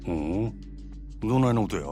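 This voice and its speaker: Male actor doing brusque kansai yakuza from a game, deep, talks quick, bit of music under it. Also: animated, natural voice. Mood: teasing, grumbling. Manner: brusque, grumbling. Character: gruff, brusque yakuza man with a gentler side. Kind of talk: Japanese video game drama acting.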